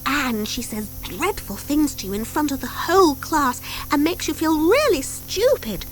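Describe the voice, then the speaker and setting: A sensible young schoolgirl witch in a storybook , played by a woman, brisk and forthright. The voice is pitched high and very expressive, over faint cassette hiss. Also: rough recording. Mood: earnest, surprised. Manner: forthright, exclaiming. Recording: hissy old audio cassette.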